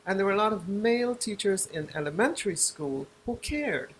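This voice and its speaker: An older woman from Guyana being interviewed as an author and journalist, speaking reflectively and pausing to search for words. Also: mid-range voice, animated, a little hiss or hum, poor audio.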